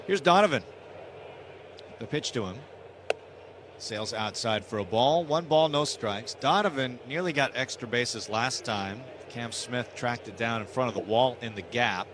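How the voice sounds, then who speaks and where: A man's voice: mid-range voice, a little slow. A man doing live radio play-by-play commentary of a baseball game. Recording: steady background ballpark noise. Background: outdoor stadium ambience.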